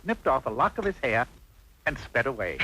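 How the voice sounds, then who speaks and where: Animated, wry storybook narrator man. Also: mid-range voice, moderate pace, noisy background, natural voice. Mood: mock-dramatic. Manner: arch, dramatic. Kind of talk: scripted comic fairy-tale narration.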